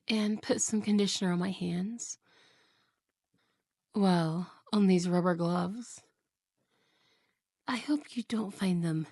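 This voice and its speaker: A jealous, possessive young wife, played by a woman in an ASMR roleplay, purring her words very slowly with a gravelly, creaky edge. She slips into hushed whispers and sounds sweet, yet quietly threatening, close to a dry, dead microphone. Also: mid-range voice, no room sound, exaggerated voice. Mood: sweetly possessive. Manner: soft, slow, whispery, with giggles.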